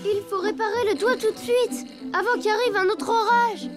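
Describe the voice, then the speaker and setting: A woman's voice: very high-pitched, normal speed. A woman voicing an eager, trusting little elephant child in a children's cartoon, with a childlike manner. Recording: prominent music behind the voice.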